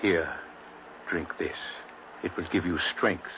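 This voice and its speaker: An actor playing a grave, gentle family doctor in a 1950s radio drama, measured, soothing and hesitant. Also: male voice, low-pitched. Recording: muffled, noisy 1950s radio recording.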